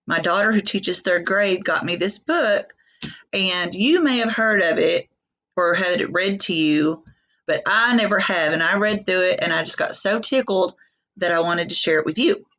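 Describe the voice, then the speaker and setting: A middle-aged woman, a teacher talking to young children in a folksy, expressive way full of questions. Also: fairly low pitch, moderate pace. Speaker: elementary school teacher. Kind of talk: read-aloud for young children, chatty asides.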